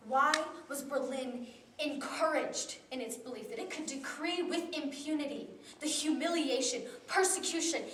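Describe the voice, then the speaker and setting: A teenage girl giving a memorized competition speech with a solemn, deliberate delivery that drops to hushed tones. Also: sits high, background noise. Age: teens. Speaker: student speaker. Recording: roomy echo from a distant camera.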